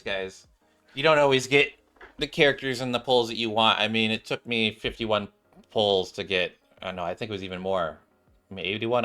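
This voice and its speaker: A man with a fairly low, bright voice hosts a gaming video. He comments casually and chattily on his play, speaking directly to his viewers. Faint game music runs behind him. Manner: chatty, addresses viewers as guys.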